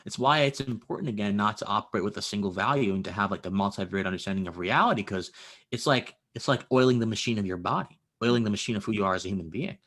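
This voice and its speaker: A man's voice: fairly low pitch, very fast, a bit bright. A man of twenty, a guest in a casual conversation, talking earnestly and philosophically with stammered restarts.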